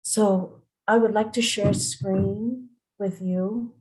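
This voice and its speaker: A middle-aged woman, speaking earnestly and deliberately as a poet at an open mic. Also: mid-range voice, steady tone, a little mellow, low-quality recording. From Singapore. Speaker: poet and performer. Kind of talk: spoken introduction to open mic poetry. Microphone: online video call at home.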